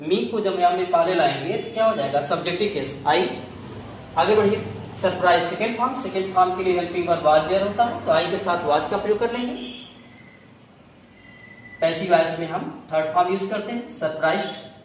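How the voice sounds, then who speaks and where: A high-voiced man with an Indian accent gives a lesson in a didactic, question-and-answer manner. He explains step by step like a schoolteacher. The sound is very reverberant and distant from the mic. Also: moderate pace. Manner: didactic, asks questions and answers them himself. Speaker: English grammar teacher. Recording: very echoey room, distant mic, faint noise.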